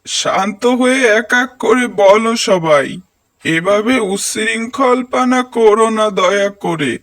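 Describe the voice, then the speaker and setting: A man's voice: sits high, slow, fairly bright tone. A man voicing village men in a cartoon, speaking in a declamatory way. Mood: calm, admonishing. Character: village men: angry villagers, calming council member, friendly snack vendor. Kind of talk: cartoon voice acting.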